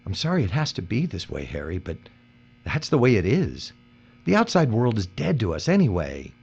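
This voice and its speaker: An actor playing a hard-nosed, pragmatic captive worker in an audio drama, terse and urgent. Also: male voice, fairly low pitch, wildly swooping pitch, moderate pace, vocal fry, darkish tone, husky, faint music behind, exaggerated voice. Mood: hushed, weary. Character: hard-nosed, pragmatic male captive worker keeping his partner alive. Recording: dull, muffled recording.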